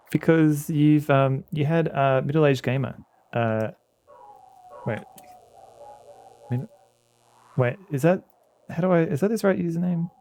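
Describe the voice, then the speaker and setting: A man's voice: a little high, a little mellow. A man streaming a game and chatting casually with viewers, rambling with lots of restarts and fillers. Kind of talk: casual chat with viewers while playing a game.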